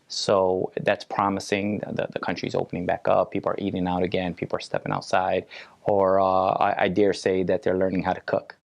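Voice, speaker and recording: A man explaining things in an interview as an official, in a halting, measured way. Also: low voice, completely flat delivery, gravelly, no echo.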